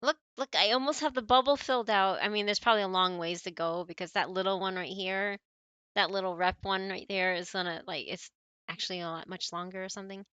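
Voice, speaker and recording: Bright-voiced, animated woman chatting on stream. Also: mid-range voice, fairly quick, clear, resonant tone, no room sound, highs cut off. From born in Malaysia, family brought to the US. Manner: animated, giggly, chatty, playful. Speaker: livestream host. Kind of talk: casual chat to livestream viewers while gaming.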